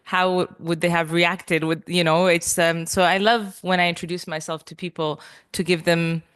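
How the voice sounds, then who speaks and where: A woman journalist in her thirties from Italy, giving a lively, chatty conference talk full of asides. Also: lowish voice, moderate pace, clean tone.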